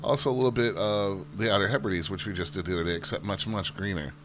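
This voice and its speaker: A man's voice: sits low, fast. A man hosting a flight sim channel, giving easygoing, self-deprecating commentary in a chatty tour-guide way. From United States. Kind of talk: casual gameplay commentary. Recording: steady background hum.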